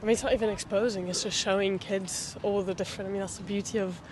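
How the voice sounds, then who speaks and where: A man from Belgium with a high voice speaks with passion on a city street, circling his points. Faint traffic runs underneath. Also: little pitch movement, fast talker, a little mellow. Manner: passionate, repeats "I mean". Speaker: interviewee on the street.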